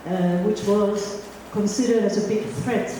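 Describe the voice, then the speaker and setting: A woman's voice: mid-range voice, fairly even intonation, a little slow, mellow, a little hiss or hum. A middle-aged woman from Finland introducing herself on a conference panel, chatty and self-deprecating with many fillers. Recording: echoey hall, distant mic.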